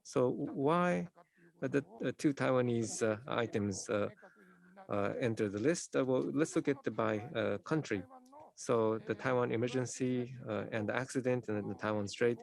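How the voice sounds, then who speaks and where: A man presenting survey results at a conference in a halting, formal way, full of hesitations. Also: medium pitch, vocal fry. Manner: hesitant, heavy use of filler sounds.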